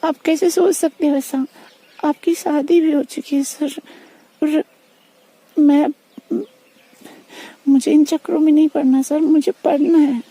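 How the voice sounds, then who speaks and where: A woman's voice: high-pitched, fairly even intonation, unhurried, dark tone, no room sound. A young woman on a private phone call, speaking earnestly and politely but with insistent reproach. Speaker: student.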